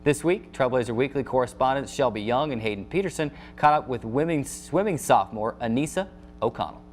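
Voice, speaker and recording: A man's voice: medium pitch, expressive, brisk, soft background music. An American man hosting a sports show, giving a news rundown to camera and encouraging viewers in a promotional way. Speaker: sports show host and play-by-play announcer.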